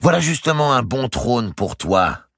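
A man's voice: medium pitch, big pitch swings, unhurried, vocal fry, no room sound, studio quality, pitched above the performer's own voice, heavily acted. A man reading aloud and voicing a brutal, mocking knight who barks orders and jeers at a prisoner. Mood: jeering. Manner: loud, jeering, commanding.

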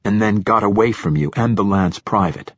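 Man playing a stern, husky priest-captain. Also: fairly low pitch, moderate pace, no room sound, studio quality. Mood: probing, sarcastic. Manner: curt, flat, sarcastic, insistent questioning. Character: stern, probing male priest-captain interrogator. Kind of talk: audiobook character dialogue.

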